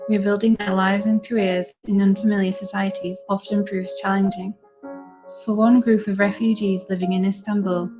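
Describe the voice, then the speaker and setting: A woman giving scripted documentary narration in an even, measured way. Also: mid-range voice, poor audio. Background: music.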